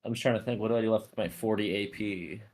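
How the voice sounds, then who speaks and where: Male player, lowish voice, casual, thinking through game math out loud. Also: fairly even intonation, rapid-fire. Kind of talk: casual game talk, working out math aloud.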